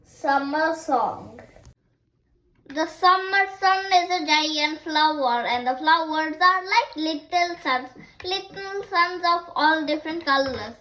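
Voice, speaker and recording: A six-year-old child reading picture books aloud in a halting, word-by-word way, as the host of a story time. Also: sits high, fairly bright tone, slight background noise, rough recording. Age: child, 6 years old.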